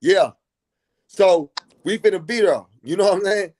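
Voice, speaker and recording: A man's voice: high voice, normal speed, a little mellow, slight background noise, low-quality recording. A man from Dallas, talking earnestly in a casual live stream and repeating himself for emphasis. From Dallas, Texas.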